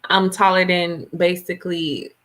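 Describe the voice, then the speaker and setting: A woman from Florida, a guest model on a live podcast over a video call, talking in an easygoing, relaxed way. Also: lowish voice, unhurried. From Tallahassee, Florida. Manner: easygoing, laughs often. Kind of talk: casual interview chat.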